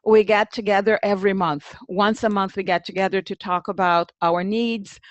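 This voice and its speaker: A woman's voice: mid-range voice, steady tone, moderate pace, no room sound, highs cut off. A woman presenting an informal webinar as host, conversational, thinking aloud and addressing attendees by name.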